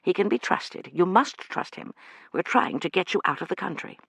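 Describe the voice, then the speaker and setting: An audiobook reader voicing a calm older woman who speaks quietly and firmly. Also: medium pitch, moderate pace, vocal fry, slightly rough, no echo, pitched above the performer's own voice, exaggerated voice. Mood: reassuring. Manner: quiet, firm.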